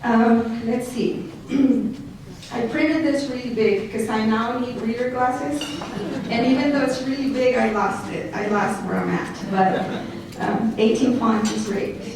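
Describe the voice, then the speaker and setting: A middle-aged woman with a Mexican Spanish accent and a slightly high voice gives a speech at a banquet, reading prepared remarks and breaking off for joking asides. She is heard from well back in a big hall, with strong echo and faint room noise behind her. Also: fairly even intonation, measured pace. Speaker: school district director introducing an award nominee. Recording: echoey hall recorded from far off.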